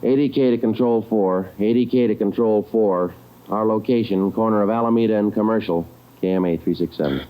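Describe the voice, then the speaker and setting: An actor playing a terse police detective, clipped and matter-of-fact in hardboiled 1940s radio style. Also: male voice, on the low side, a little fast, dark tone, lightly acted, rough recording. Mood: brisk, official. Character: terse, businesslike male police detective narrating his case. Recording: old 1940s radio recording.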